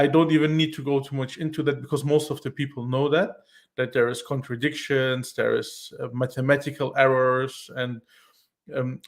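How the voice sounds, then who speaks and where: A man explaining earnestly on a call-in talk show. He speaks in halting bursts with many restarts. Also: a little high. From Iran, raised in Germany. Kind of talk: casual explanatory chat.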